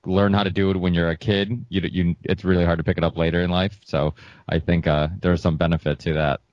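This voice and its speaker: A man's voice: low-pitched, flat delivery, brisk, no echo, lo-fi sound. A man whose first language is Portuguese, a podcast guest chatting casually and rambling with many restarts. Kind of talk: casual chat.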